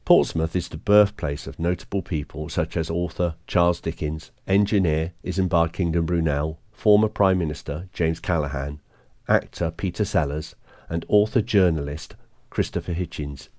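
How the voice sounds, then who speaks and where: A man with a very low, deep voice narrates his travel vlog as a scripted voice-over. His delivery is steady and informative, like a guide reading out facts about each stop. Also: moderate pace, no echo, clean studio sound. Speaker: vlogger narrating a motorbike tour.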